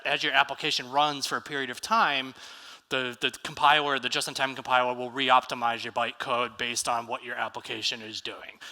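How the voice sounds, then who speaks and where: Bright-voiced American man on a hall stage. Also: mid-range voice. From United States. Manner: casual, quizzes the audience with shows of hands. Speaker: conference speaker. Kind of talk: live tech conference talk. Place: large conference hall stage. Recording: reverberant hall.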